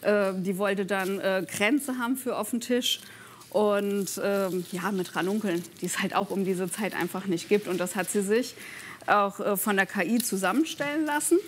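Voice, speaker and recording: A woman speaking casually and a little haltingly in an interview as a shop owner. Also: mid-range voice, a little hiss or hum. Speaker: florist being interviewed.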